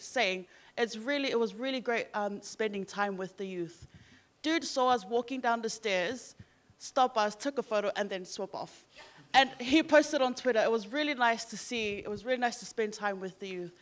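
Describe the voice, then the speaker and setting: Young woman, from Samoa, panel guest, casual storytelling, many fillers, handheld mic, event stage. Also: mid-range voice. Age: young adult. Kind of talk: panel discussion.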